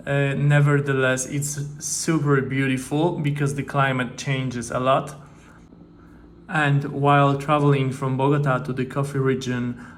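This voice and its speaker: A man hosts a travel vlog in a mid-pitched, level voice that hardly rises or falls. His English is steady and explanatory, dotted with fillers. A faint hiss runs underneath. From Poland. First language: Polish. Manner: steady, explanatory, with frequent "uh" and "guys". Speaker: travel vlogger.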